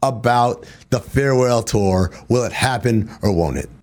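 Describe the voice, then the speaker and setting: A man's voice: medium pitch, a little slow, a little mellow. A man hosting a sports talk show, playful and teasing, reading sponsor lines and often laughing.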